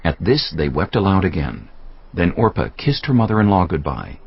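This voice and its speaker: Scripture narrator: man, extremely deep, solemn, gravelly, expressive storytelling; slightly muffled recording. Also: normal speed, no room sound. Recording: dull, band-limited, music underneath.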